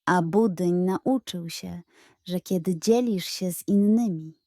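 Slow, mellow woman storyteller. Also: lowish voice, no room sound, clean studio sound. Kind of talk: expressive scripted narration of a children's bedtime story.

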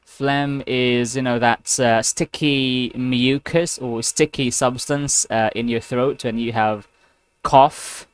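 Young man, online English tutor on a headset mic; unhurried, clear, patient delivery. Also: mid-range voice, fairly even intonation, no room sound. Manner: slow, patient, rephrases and spells words for the student. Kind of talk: online one-on-one English class. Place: home room, video call. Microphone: gaming headset with boom mic.

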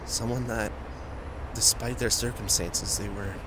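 A low-voiced young man plays a reluctant, tearful musician in an audio roleplay, halting and sighing as he opens up. His slightly deep voice sits over clear outdoor street noise. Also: steady tone. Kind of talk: first-person audio roleplay.

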